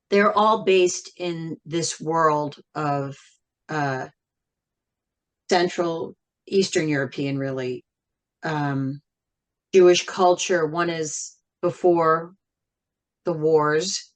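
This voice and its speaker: Lady being interviewed, lowish voice, really slow and careful, pauses a lot while she thinks. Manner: measured, thoughtful, with frequent pauses. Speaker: interview guest, singer and writer. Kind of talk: reflective interview conversation.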